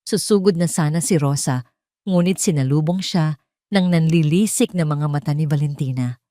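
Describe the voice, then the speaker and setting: Female audio story narrator, deep register, dramatic read, very dry sound. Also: normal speed, dark tone, no echo.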